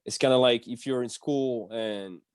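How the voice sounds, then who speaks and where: A man's voice: medium pitch, moderate pace, clean tone, no echo, studio quality. A man from Switzerland, talking casually as a podcast guest. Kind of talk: casual interview chat.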